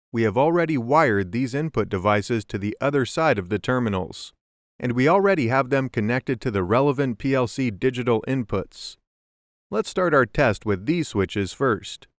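A man giving scripted instructional narration, explaining methodically and clearly as in a technical tutorial. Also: mid-range voice, no room sound, studio quality. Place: close, dry voice-over recording.

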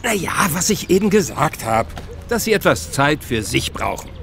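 An actor playing an eccentric, evasive old groundskeeper in a children's audio drama, speaking haltingly with a creaky voice. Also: male voice, a little high, sing-song, a bit bright, slight background noise. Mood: defensive, evasive. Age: older. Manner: halting, hesitant, slow-paced. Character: eccentric old male groundskeeper, former musician, evasive and grumbling.

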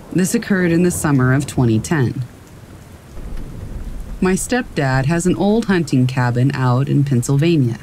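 A woman calmly reading true stories aloud as a narrator. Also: deep voice, low-quality recording. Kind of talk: calm scripted narration. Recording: faint rain noise behind. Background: rain.

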